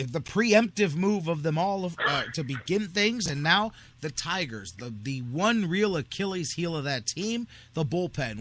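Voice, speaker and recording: A man's voice: fairly high pitch, a bit bright. A man hosting a live sports talk radio show in a conversational, opinionated way.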